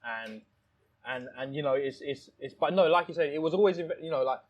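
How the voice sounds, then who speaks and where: A man's voice: fairly high pitch, moderate pace, muffled recording. A man telling stories casually in conversation, rambling and repeating phrases, with frequent "you know". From born in Thailand, lives in London. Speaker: restaurant owner, interview guest.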